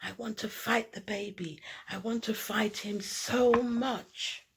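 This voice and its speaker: A woman's voice: mid-range voice, steady tone, slow, vocal fry, slightly rough, heavily acted. A woman voicing a boisterous, playful boy cousin in a storybook, bouncy and exclaiming, spoiling for a fight.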